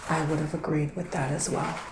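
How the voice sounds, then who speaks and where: A man playing a gentle, theatrical male witch psychic in an ASMR roleplay, speaking in a breathy, sighing, partly whispered hush. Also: a little high, little pitch movement, brisk, reverberant, very noisy, close to an everyday voice. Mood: welcoming, hushed. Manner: breathy, sighing, hushed, dramatic. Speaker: ASMR performer.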